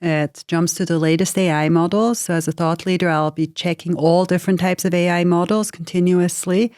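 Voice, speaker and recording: Woman in her forties, interview guest, lowish voice, dry close sound, frank, chatty. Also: steady tone, fairly quick, a little mellow, dry recording. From Switzerland. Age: 40s. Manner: frank, uses fillers like "you know" and "right". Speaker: guest, AI lead in pharma. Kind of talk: casual interview conversation.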